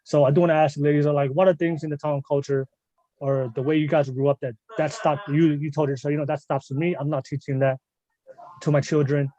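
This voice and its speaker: A man hosts a live podcast in a loose, casual manner. He asks long rambling questions, restarting and repeating phrases as he goes. His voice sits a little high for a man. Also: fast, muffled recording. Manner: relaxed, repeats himself while asking questions. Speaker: podcast host.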